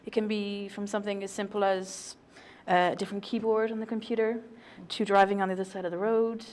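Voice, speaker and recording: An Irish teacher in her thirties speaking in a measured, polite way, in a small TV studio. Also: mid-range voice, flat delivery, a little mellow, slight background noise. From Ireland. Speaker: teacher leading an exchange trip.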